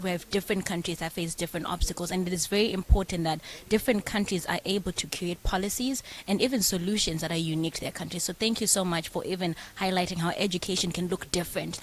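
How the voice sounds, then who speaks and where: A woman's voice: fairly low pitch, low-quality recording. A woman from Zimbabwe, hosting and moderating a live panel in an effusive, gracious way. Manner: effusive, gracious, praising guests. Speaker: host and moderator.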